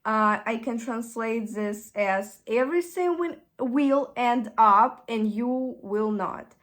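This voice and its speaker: A young woman with a Russian accent, chatting casually in a vlog and often pausing to search for English words. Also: on the high side, fairly bright tone. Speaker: vlogger. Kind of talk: casual chat.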